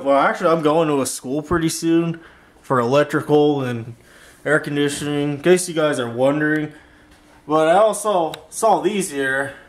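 A young man giving a casual, handheld video demonstration as the host of a home vlog. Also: on the high side. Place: bedroom and home workshop. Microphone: handheld camera or phone, held by the speaker.

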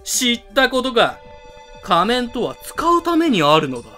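A man's voice: very high voice, wildly swooping pitch, unhurried, pushed and bright, soft background music, full character voice. A man playing a pompous, villainous school club president obsessed with masks, grandiose and theatrical, in an amateur voice drama. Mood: gloating, grand.

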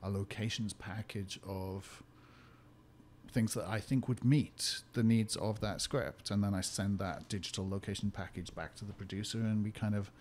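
A man from Wales with a Welsh accent, a location consultant answering interview questions in clear, detailed explanations. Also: on the low side, darkish tone, slight background noise. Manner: articulate, explains at length.

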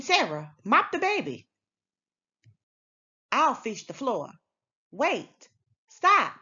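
A woman's voice: on the high side, bright, forceful tone, muffled recording, heavily acted. A woman reading as a frazzled, bossy parent in a children's storybook, emphatic and commanding. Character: frazzled, bossy parent rallying the family to clean. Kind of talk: children's storybook read-aloud.

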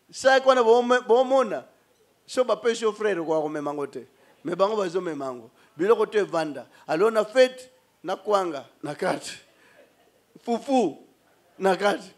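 Animated Congolese preacher man, high voice. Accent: Congolese French. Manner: animated, mixing Lingala and French, gestures while preaching. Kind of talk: sermon. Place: church hall with congregation. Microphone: handheld mic on a stand.

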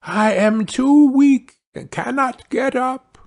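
Man as frail old fairy-tale grandmother, sickly, high-pitched, slow, feeble calling. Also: gravelly, darkish tone, heavily acted. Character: weak, sick old grandmother calling from bed.

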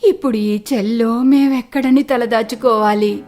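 Elderly village mother for cartoon moral tale, played by a woman: distraught, slow wailing, high-pitched. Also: fairly even intonation, a little mellow, faint music behind, lightly acted. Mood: despairing.

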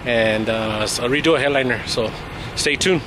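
A man's voice: medium pitch, normal speed. A middle-aged man chatting casually to his vlog audience outdoors, recorded on a phone. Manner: laid-back, informal. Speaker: vlogger, car customizer. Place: outdoors, in or near a vehicle. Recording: loud wind noise from vehicle outdoors. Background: wind and traffic.

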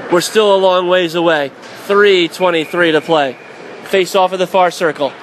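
High-voiced guy doing radio hockey play-by-play, gets shouty on shots, a little background noise. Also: fairly even intonation, rough recording. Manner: rapid, rising to shouts on shots and saves. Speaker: play-by-play announcer.

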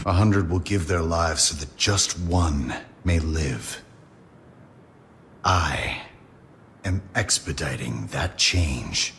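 An actor playing a cold, imperious older mastermind in a video game, measured and commanding. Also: male voice, very deep voice, heavily acted. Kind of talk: video game villain acting. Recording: loud vehicle noise behind.

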